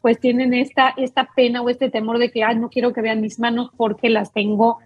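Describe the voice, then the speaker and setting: Husky-voiced woman doctor. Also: fairly high pitch, little pitch movement, fairly quick, dry recording, muffled recording, faint music behind. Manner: explains patiently, with many fillers and restarts. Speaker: doctor, guest expert. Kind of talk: explanatory talk show interview.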